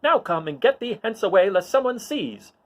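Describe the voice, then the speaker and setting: A man's voice: sits high, very animated, moderate pace, voice pushed up. A man voicing a fussy, indignant protocol droid, haughtily exclaiming Shakespearean insults in a dramatic reading. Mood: indignant scolding.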